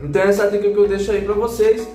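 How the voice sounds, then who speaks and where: A man's voice: high voice, little pitch movement, racing, mellow, reverberant, faint music behind. A Brazilian man giving a casual tutorial voice-over as a gadget reviewer, instructing viewers directly with frequent check-in tags. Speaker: gadget reviewer and channel host.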